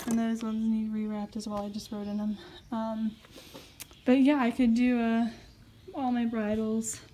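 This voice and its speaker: A woman chatting casually to camera at a horse barn, giggly and quick with asides. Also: a little high, background noise. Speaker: horse vlogger. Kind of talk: casual vlog chat to camera.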